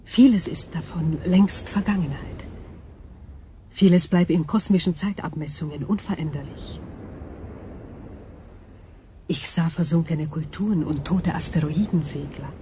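Woman acting as ancient cosmic alien intelligence, lowish mellow voice, calm, formal, measured, sci-fi radio play. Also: a little hiss or hum, close to an everyday voice. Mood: solemn, wistful. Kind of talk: German science fiction radio drama acting.

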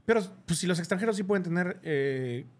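A young Mexican-accented man trades jokes on a podcast, his voice bouncing up and down with animated pitch. He talks in loose slang, quick to laugh. Voice sits a touch high for a man. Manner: jokey, slangy, laughs often. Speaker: podcast co-host. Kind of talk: casual comedic chat. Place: podcast studio.

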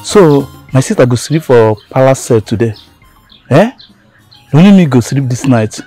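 A man's voice: mid-range voice, animated, normal speed, mellow, soft background music, natural voice. A man with a Nigerian accent acting a baffled brother in a screen drama, muttering to himself in Pidgin phrasing. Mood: baffled, troubled.

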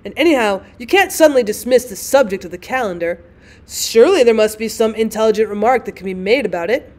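A woman's voice: fairly high pitch, expressive, quick pace, darkish tone, lightly acted. Woman reading as a fussy, formal, indignant husband. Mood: protesting. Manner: formal, declamatory, literary phrasing. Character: gloomy, fussy, indignant husband, grandly pedantic.

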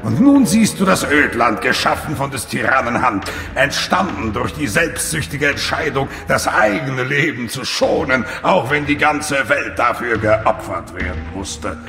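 A man playing an ancient, cruel tentacled god in a video game, solemn, pompous and commanding, with a booming reverb effect. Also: lively intonation, a little slow, vocal fry, pushed and bright, full character voice. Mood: accusing, solemn. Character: ancient menacing male parasitic god, imperious, tentacled, cruel. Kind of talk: dramatic video game acting. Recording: long cavernous reverb, music underneath.

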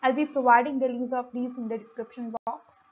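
A woman giving an explanatory lesson as a lecturer, speaking haltingly with frequent pauses and repeated words. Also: a little high, fairly even intonation, husky. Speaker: lecturer, host of an economics channel. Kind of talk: explanatory lesson read from slides. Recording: dull, lo-fi home recording.

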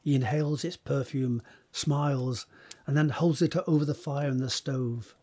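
A man's voice: medium pitch, fairly even intonation, normal speed, a little mellow, natural voice. A man narrating scene directions for a period romance audio drama in a scripted present-tense style. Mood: descriptive.

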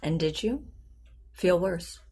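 A woman playing a composed, probing therapist in an audio drama, measured and clinical in her questions. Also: on the low side, expressive, gravelly, mellow, slightly rough, heavily acted. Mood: measured, inquiring. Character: composed, probing female therapist to a teenage patient. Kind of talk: naturalistic audio drama dialogue.

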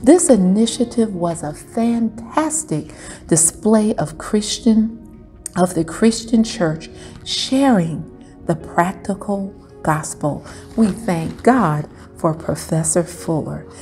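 A woman's voice: mid-range voice, expressive, slow pace, mellow, faint music behind. A middle-aged woman teaching a church school lesson, reading steadily with emphatic, preacher-like rises. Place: small indoor room before a wall screen.

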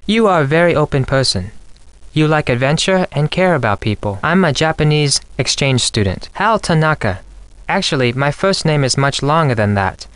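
Earnest, higher-pitched young male exchange student. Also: lively intonation, moderate pace, fairly bright tone, smooth, dry recording. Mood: polite. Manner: polite, formal, earnest. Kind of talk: scripted video game dialogue.

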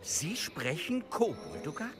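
An actor playing a wary, proud old male goblin lord in a German game dub, speaking in a stern, measured way. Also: fairly high pitch, wildly swooping pitch. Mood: curt. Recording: game audio with music behind.

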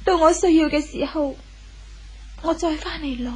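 Very high-voiced remorseful young wife played by a woman. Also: moderate pace, darkish tone, slight background noise, natural voice. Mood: tentative, sad. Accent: Hong Kong Cantonese. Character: remorseful, hesitant young wife leaving her husband. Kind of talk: 1970s Cantonese radio drama acting.

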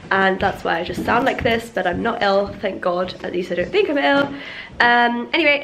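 A woman's voice: a little high, noisy background. A woman in her twenties vlogging with a stuffy nose, chatting playfully while holding the camera at arm's length. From Iranian family, UK. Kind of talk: casual vlog chat. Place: home and café, indoors. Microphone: handheld camera at arm's length.

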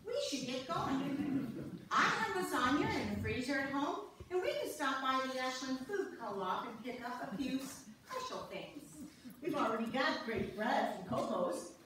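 A woman's voice: high-pitched, animated, a little slow. A woman playing a cheerful, gushing, coaxing wife in an amateur stage reading. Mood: breezy. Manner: gushing, playful, exclamatory. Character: cheerful, coaxing wife visiting husband's ice-fishing camp. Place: church sanctuary, live stage reading. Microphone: distant audience camera. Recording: echoey church hall from far off, noisy.

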